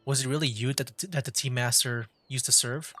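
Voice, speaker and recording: A man's voice: mid-range voice, steady tone, a little fast, background noise. A man giving casual commentary as he streams a video game.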